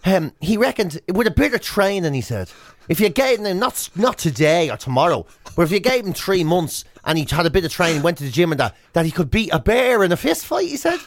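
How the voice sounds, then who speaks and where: High-pitched, animated Irish man. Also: a little fast, dry recording. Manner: animated storyteller, acts out imagined dialogue. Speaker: radio show co-host. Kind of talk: comic breakfast radio banter.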